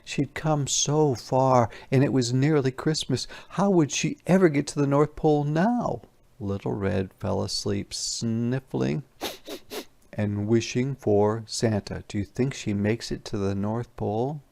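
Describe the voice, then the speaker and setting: Slow, gravelly man reading a storybook. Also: medium pitch. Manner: expressive, pausing to explain words. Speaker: teacher reading aloud. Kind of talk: interactive children's storybook read-aloud.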